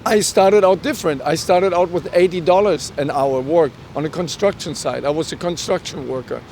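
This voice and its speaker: A middle-aged man with a German accent, a native German speaker, tells personal stories as an interview guest. His voice sits a little high, and he speaks earnestly and haltingly, often acting out bits of dialogue. He is recorded outdoors with faint vehicle noise around him. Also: a little mellow. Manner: halting, earnest delivery with self-quoted dialogue. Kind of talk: personal storytelling in a conversational interview. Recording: outdoor street recording with traffic rumble.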